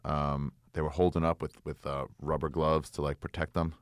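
A man's voice: a bass voice, little pitch movement, moderate pace, no echo, studio quality. A man speaking as a guest comedian in casual, colloquial, laid-back conversation. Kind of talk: casual chat.

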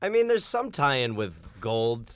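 A man's voice: mid-range voice, sing-song, unhurried, a bit bright, no echo, clean studio sound. An American man co-hosting a comedy podcast, riffing in improvised banter on a noisy recording.

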